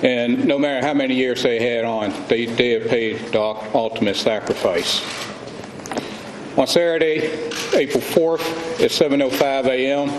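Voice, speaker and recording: Grave American man, echoey noisy room. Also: medium pitch. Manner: grave, deliberate. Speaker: police chief. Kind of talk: formal police briefing. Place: press conference room.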